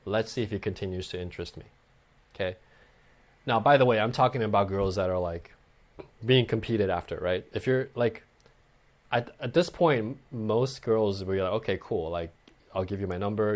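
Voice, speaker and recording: A man giving dating advice in a conversational way, often acting out sample lines and checking in with "okay" and "right". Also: fairly low pitch. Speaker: dating coach guest.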